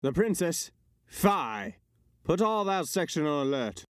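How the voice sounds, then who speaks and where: A man's voice: a little high, very animated, measured pace, dry recording, studio quality. A man playing a haughty, skeptical imperial commander in a Shakespearean dramatic reading. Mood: alarmed, commanding.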